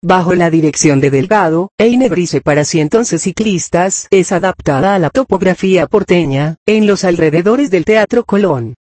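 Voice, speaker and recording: A narrator reads a scholarly text aloud at a medium pitch. The delivery is formal and measured, clearly scripted, with references read out in the same careful way. The sound is close and dry, free of room echo. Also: expressive, darkish tone.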